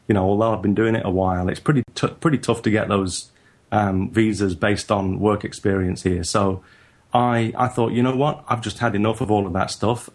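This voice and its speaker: A man with a northern English accent, talking in a halting, reflective way as a guest in a casual interview. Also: fairly low pitch. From northern England. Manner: halting, reflective, frequent restarts. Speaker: guest photographer.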